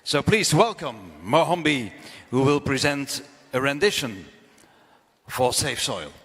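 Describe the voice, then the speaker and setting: A man's voice: medium pitch, big pitch swings, takes it slowly, a bit bright, husky, in a large hall. A man moderating an event and introducing the next performer in an upbeat announcing tone. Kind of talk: stage introduction.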